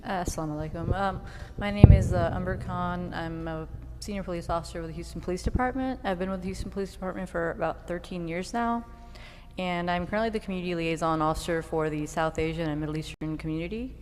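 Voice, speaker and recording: A woman's voice: on the low side, fairly even intonation, fast talker, darkish tone. A woman police officer and community liaison, introducing herself plainly and in a friendly way. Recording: reverberant hall with faint noise.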